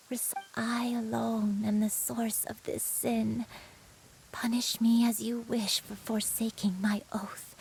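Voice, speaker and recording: A woman voicing a frail, gentle old grandmother in a dramatic video game, telling her story in a tender, halting, breathy way. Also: a little high, slight background noise, rough recording.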